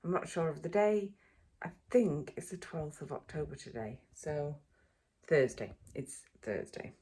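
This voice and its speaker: A woman vlogging from a cold sunroom at home first thing in the morning, chatting in a rambling way with plenty of ums, her voice still croaky from sleep. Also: low-pitched, very animated, measured pace, mellow. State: croaky, just woken up. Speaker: vlogger, mother. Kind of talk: casual morning vlog chat to camera.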